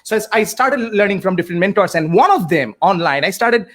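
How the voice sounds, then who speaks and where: Indian coach, male, Bengali native speaker, earnest storyteller, filler-heavy, slightly raised pitch. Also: expressive, brisk, a bit bright. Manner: earnest, many fillers and repeated starts. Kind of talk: conversational storytelling.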